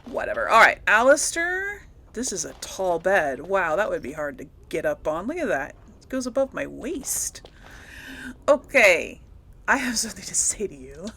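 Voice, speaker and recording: A woman's voice: fairly low pitch, lively intonation, moderate pace, fairly bright tone, slight background noise. A woman hosting a casual role-play let's play, thinking aloud in a hesitant, amused way and speaking her character's replies. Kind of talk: casual game commentary, role-playing dialogue aloud.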